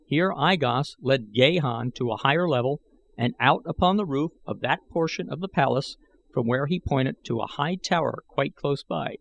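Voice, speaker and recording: A man's voice: mid-range voice, moderate pace, gravelly, a bit bright, lo-fi sound. A man reading a scripted, dramatic narration as an audiobook narrator.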